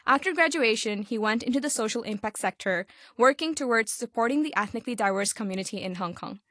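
Higher-voiced woman hosting, dry sound. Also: expressive, quick pace, a bit bright, no room sound. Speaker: host. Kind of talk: hosted interview.

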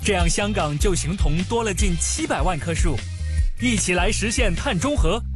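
A man's voice: high voice, animated, moderate pace. A man reading a scripted public service announcement in an upbeat, promotional way. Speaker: advertisement narrator. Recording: voice over loud music bed.

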